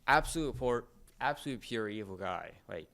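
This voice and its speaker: A man chatting casually as a fan, often halting and searching for his words. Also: medium pitch, animated, gravelly, a bit bright.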